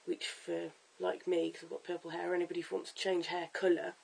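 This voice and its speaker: A hoarse, croaky-voiced vlogger giving a casual at-home wig tutorial in a chatty, stop-start way, filmed on a camera's own mic. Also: medium pitch, completely flat delivery, brisk, slight background noise, rough recording. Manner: chatty, stop-start with fillers and self-corrections. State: hoarse, lost voice. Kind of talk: casual how-to demonstration.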